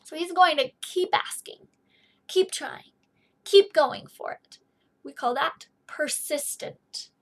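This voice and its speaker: A high-voiced woman teaches young children from a picture book. She speaks slowly, in simple explanatory phrases, as a teacher reading aloud. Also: fairly bright tone. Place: small indoor office or study.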